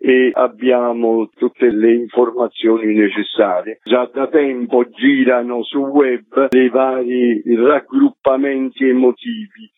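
A man's voice: medium pitch, no room sound. A man being interviewed on the radio as a festival's artistic coordinator, explaining in eloquent, rhetorical phrases full of lists. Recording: narrow phone-like line on radio.

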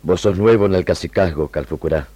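An actor playing an honorable, humane army lieutenant with earnest, measured, formal phrasing in a radio drama. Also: male voice, low-pitched, warm tone, slightly rough, dry recording. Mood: earnest, sober.

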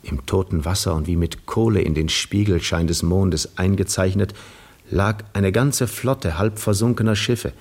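A weary seafarer telling his own tale in a 1950s radio play. The voice is low and breathy, with measured, dreamy phrasing and dramatic shading toward a hush. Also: fairly even intonation. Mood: dreamy, spellbound. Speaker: narrator. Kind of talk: 1950s German radio drama, literary first-person narration.

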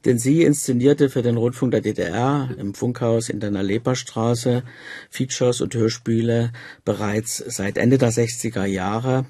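Man, middle-aged or older, husky, mid-pitched, formal interviewer, carefully worded. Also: warm tone, no room sound. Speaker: interviewer and radio drama department head. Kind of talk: prepared interview introduction and questions.

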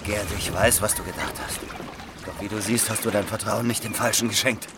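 An actor playing a loyal former officer and secret agent in a radio drama, measured and earnest. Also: male voice, lowish voice, very noisy, natural voice. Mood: sober, confiding. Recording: loud music and water behind.

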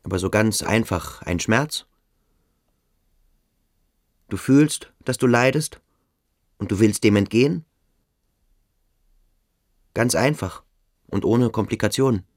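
Very deep, cold, brooding schoolboy. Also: male voice, expressive, takes it slowly, long pauses, no room sound, studio quality, exaggerated voice. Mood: detached, probing. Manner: detached, dismissive, probing. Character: cold, aloof, brooding male boarding-school pupil. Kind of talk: radio drama acting. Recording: music behind the voice.

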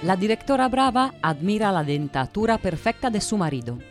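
A woman's voice: medium pitch, big pitch swings, fairly quick, soft background music. A woman giving scripted narration for a satirical audio drama.

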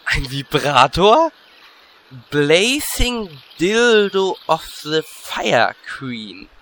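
A man's voice: a little high, big pitch swings, very slow, fairly bright tone, a little hiss or hum, exaggerated voice. A man playing a cocky, lecherous little gnome adventurer in a comedy audio drama, brash and cheeky. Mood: teasing.

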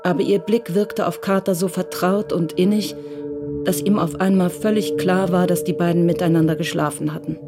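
A woman's voice: fairly low pitch, fairly even intonation, normal speed. A woman reading scripted literary narration as the narrator of a radio drama. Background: music under the voice.

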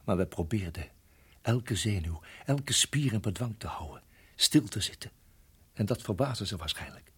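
An actor playing a tense, protective husband in a radio play, telling a dramatic story in measured, suspenseful phrases that drop to hushed tones. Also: male voice, fairly low pitch, slightly rough, exaggerated voice. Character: Bob, husband recounting a deadly snake ordeal, protective, tense. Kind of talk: radio play acting, dramatic first-person narration.

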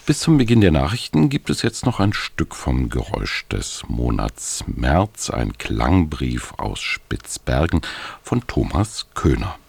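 Very deep, gravelly male announcer. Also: big pitch swings, a little slow, darkish tone. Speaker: radio continuity announcer. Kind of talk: scripted programme preview.

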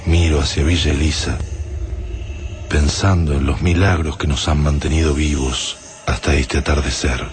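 A man playing a weary, longing survivor in a radio drama monologue, with an Argentine accent. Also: a bass voice. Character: weary, longing male survivor narrating his ordeal.

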